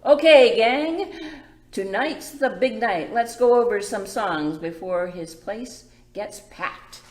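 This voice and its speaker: A woman's voice: mid-range voice, lively intonation, slow, a little mellow. A woman hosting a children's storytime as a librarian, presenting and reading aloud in simple, demonstrative phrases for small children. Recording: echoey room.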